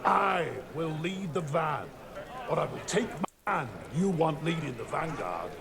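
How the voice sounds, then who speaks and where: An actor playing a blustering, fierce northern lord in a fantasy TV drama, gravelly and scornful. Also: male voice, a little high, lively intonation, measured pace, a crowd in the background. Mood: defiant outrage. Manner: bellowing, defiant, scornful. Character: blustering middle-aged northern lord, fierce old warrior. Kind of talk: period fantasy TV drama acting.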